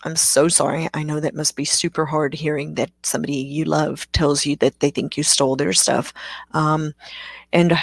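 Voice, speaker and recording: Low-voiced woman. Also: flat delivery, normal speed, vocal fry, dry recording, clean studio sound. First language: Afrikaans. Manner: chatty, self-deprecating, with sarcastic asides. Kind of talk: live-streamed Q&A talk.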